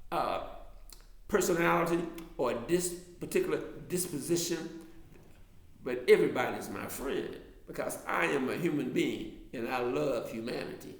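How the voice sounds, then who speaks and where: An older man with a mild Southern American accent and a slightly high voice talks to a class in a loose, wandering way, stopping and restarting his sentences often. The room around him is echoey. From Alabama, US South. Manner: digressive, many restarts and fillers. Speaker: author and lecturer. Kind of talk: rambling talk to a class.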